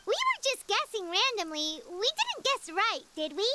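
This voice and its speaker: A tiny, squeaky fairy companion from a video game, chattering with childlike curiosity. The voice is extremely high and swoops around in lively fashion. She is excitable and blunt, with clear music playing behind her. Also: moderate pace, exaggerated voice. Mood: inquisitive. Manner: speaks of herself in third person, blunt.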